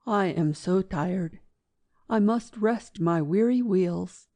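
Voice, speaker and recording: A woman's voice: mid-range voice, slow, mellow, no echo. A woman playing a weary, rusty old train engine in a children's storybook reading, sighing and plaintive. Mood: weary, defeated.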